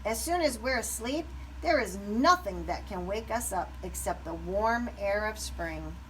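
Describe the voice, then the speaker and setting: A cranky storybook shrew, put on by a woman reading aloud, grumbling and emphatic. She is gloomy and curt, with an animated pitch and faint noise behind her. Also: unhurried, recorded from a distance, low-quality recording. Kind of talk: children's storybook read-aloud.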